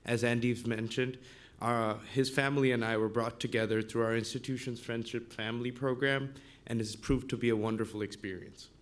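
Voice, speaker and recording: A man's voice: medium pitch, steady tone. Young man giving a formal speech. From Bangladesh. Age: 20s. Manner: formal, measured delivery of a written speech. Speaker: student representative. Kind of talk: prepared public address. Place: council chamber.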